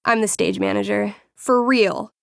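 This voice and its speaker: A woman voicing a friendly, theatrical teenage girl who runs a tabletop fantasy game, expressive and wry, in a video game. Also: medium pitch, a little slow, vocal fry, no room sound, studio quality. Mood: casual, wry. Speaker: game master. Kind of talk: dramatic tabletop storytelling and casual chat.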